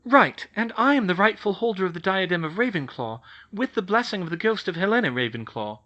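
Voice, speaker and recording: A man's voice: high-pitched, animated, a little fast, bright, forceful tone, dull audio, voice pushed up. A man voicing an earnest, bookish young woman who argues eagerly. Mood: insistent.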